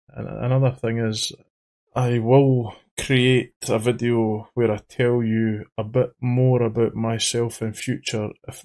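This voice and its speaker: A man with an Edinburgh Scottish accent, hosting a trading channel in a faceless voice-over, talking haltingly and repeating his words. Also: on the low side. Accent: Edinburgh Scottish, toned down. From Scotland. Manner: halting, repeats words, says he sounds monotone. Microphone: studio microphone.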